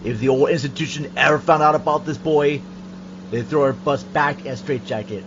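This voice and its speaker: A man's voice: fairly high pitch, fairly even intonation, fairly quick, noisy background, natural voice. A man playing an exasperated, reluctant young sidekick in an amateur audio drama, emphatic and griping. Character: nervy, exasperated young male pickup passenger, reluctant sidekick. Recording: dull, band-limited podcast audio.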